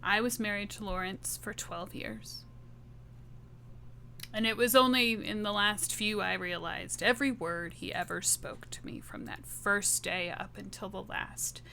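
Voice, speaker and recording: A woman's voice: mid-range voice, a little slow, gravelly, bright, forceful tone, a little hiss or hum. A woman playing a bitter, distrustful ex-wife turned monster hunter in a tabletop game, speaking insistently. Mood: bitter, hurt.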